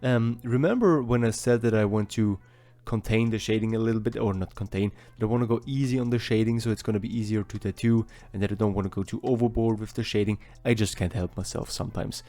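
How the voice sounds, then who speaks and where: Man rambling casually, mellow low voice. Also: steady tone, fast talker, few pauses. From Austria. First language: German. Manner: rambling, self-deprecating, chatty. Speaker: artist vlogger. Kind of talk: casual voice-over while drawing.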